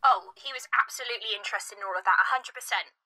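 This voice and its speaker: An actress playing an eager folklore enthusiast talking on a call. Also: female voice, medium pitch, quick pace, bright, forceful tone.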